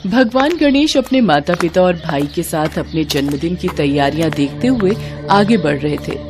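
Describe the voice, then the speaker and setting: A woman's voice: on the low side, lively intonation, moderate pace, over music. A woman narrator reading a scripted devotional moral story.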